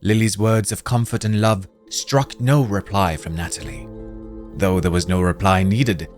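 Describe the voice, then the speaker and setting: A man narrating a spooky fantasy story in a dramatic, expressive scripted reading. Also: low-pitched, a little slow. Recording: music playing under voice.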